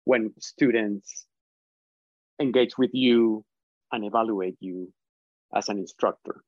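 A man speaks English with a Colombian Spanish accent, Spanish being his first language. He answers at an unhurried, reflective pace, often pausing with fillers and restarting phrases. The audio is somewhat dull and lo-fi, with no room sound at all. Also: fairly low pitch, a little mellow, no room sound. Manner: thoughtful, hesitant, many restarts and fillers. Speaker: professor, guest. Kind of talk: conversational interview answers.

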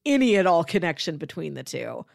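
A woman's voice: deep voice, sing-song, brisk, creaky, dry recording, studio quality. A woman co-hosting a casual pop culture podcast, chatty and emphatic, repeating phrases for effect. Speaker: podcast co-host.

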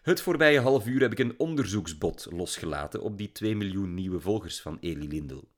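A man voicing a calm, analytical middle-aged father in an audiobook, measured and soothing. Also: low voice. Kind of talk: audiobook character voice.

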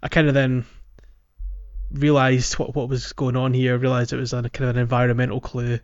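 A man's voice: mid-range voice, monotone, fairly quick, clean tone. Man explaining on a muffled podcast. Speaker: podcast co-host. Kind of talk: casual gaming podcast chat.

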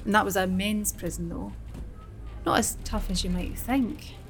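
A woman's voice: medium pitch, a little slow, soft background music, natural voice. A woman with a Scottish accent playing a harried, blunt nurse who talks through her thoughts in a brusque, chatty way. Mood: musing. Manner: brusque, chatty inner monologue. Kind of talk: audio drama acting.

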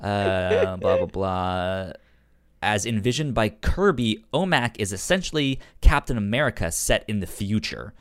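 A man co-hosting a casual, informal comic book discussion, halting and stuttering as he repeats sounds and syllables. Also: on the low side, lively intonation, a bit bright, no room sound, clean studio sound. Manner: loose and informal, halting delivery. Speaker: podcast co-host.

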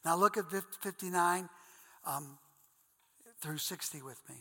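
A man's voice: high-pitched, measured pace, sharp tone, long reverb tail. A man with an Ohio accent preaching a sermon in an earnest, conversational way, calling his listeners guys and reading Scripture aloud. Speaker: pastor.

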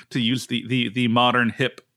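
A pastor from the States talks through a Bible passage on his podcast, easygoing and animated. His voice sits at an ordinary middle pitch, but he keeps jumping higher for emphasis and tripping over restarts as he thinks aloud. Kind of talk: casual Bible study chat.

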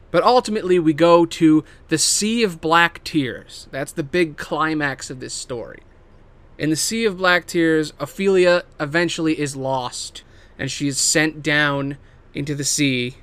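A man giving a casual, semi-improvised story pitch as a host, emphatic and theatrical, swearing casually and dropping into offhand asides. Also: fairly bright tone, smooth. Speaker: host pitching video game movie adaptations.

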